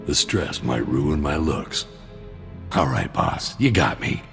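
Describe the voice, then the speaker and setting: A sardonic mercenary soldier with an extremely low, rumbling voice and plenty of gravel in it. He delivers his dry, greedy schemes in a laid-back game-cutscene style, with prominent music underneath. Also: lively intonation, slow pace, fairly bright tone, full character voice. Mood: pleased, confiding. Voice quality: very deep, gravelly. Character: cynical, greedy mercenary soldier, alien arms dealer with dry humour. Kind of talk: video game cutscene dialogue.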